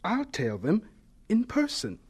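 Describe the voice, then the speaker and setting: A man's voice: high voice, huge pitch swings, takes it slowly, a little mellow, slightly rough, exaggerated voice. An actor in a radio drama playing a devout, earnest young man who speaks politely and with determination. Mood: determined.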